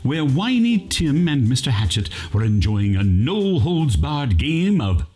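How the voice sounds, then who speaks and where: A man's voice: mid-range voice, big pitch swings, unhurried, mellow, soft background music. A man reading comic scripted narration for a radio play.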